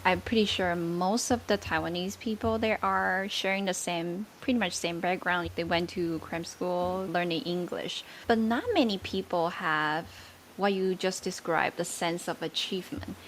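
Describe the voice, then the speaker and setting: A woman's voice: fairly low pitch, steady tone, moderate pace, a little hiss or hum, rough recording. A woman co-hosting a podcast and interviewing a guest, a native Mandarin speaker, with enthusiastic, encouraging reactions. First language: Mandarin Chinese.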